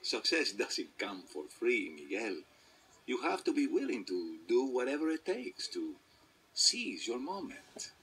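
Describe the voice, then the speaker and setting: A man's voice: mid-range voice, wildly swooping pitch, unhurried, background noise. Man playing a smug, sweeping-voiced villain. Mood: smug menace. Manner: smug, grandiose. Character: smug, ruthless famous musician, suave villain. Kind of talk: storybook read-along character acting.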